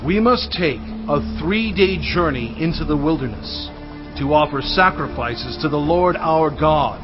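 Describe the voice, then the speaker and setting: Moses, earnest and emphatic. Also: male voice, a little high, animated, a little slow. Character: Moses, an earnest pleading man. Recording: distant, muffled voice under music.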